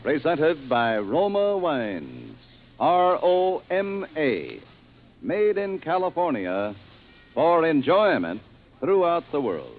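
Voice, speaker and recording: An American man delivers an emphatic 1940s radio ad, swinging widely in pitch and lingering slowly on his slogans. The sound is a tinny old broadcast with faint noise. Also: wildly swooping pitch, faint music behind. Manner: emphatic, spelling out and stressing slogans. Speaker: commercial announcer.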